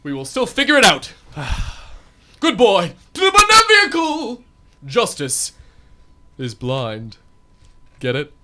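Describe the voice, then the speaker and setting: A man in a student radio drama playing a pompous, clumsy male superhero who booms self-righteous lines and stammers when flustered. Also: huge pitch swings, takes it slowly, long pauses, sharp tone, full character voice. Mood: heroic.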